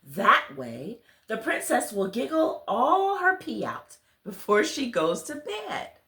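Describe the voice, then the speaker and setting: A woman's voice: fairly high pitch, huge pitch swings, slow pace, bright, forceful tone, heavily acted. A woman voicing an insistent, whimsical manager of the royal mattresses in a children's storybook. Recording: roomy, echoey sound.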